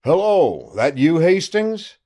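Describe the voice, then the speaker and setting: A man reading aloud voices a self-satisfied male county sheriff who is loud, triumphant and blustering. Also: on the high side, big pitch swings, slow, voice pushed up, exaggerated voice. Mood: boastful.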